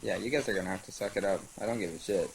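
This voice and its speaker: A young man from Venezuela whose first language is Spanish, telling stories in a halting casual interview with many fillers. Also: deep voice, vocal fry, noisy background. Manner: halting, lots of fillers and restarts. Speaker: guest. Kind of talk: casual interview over voice chat.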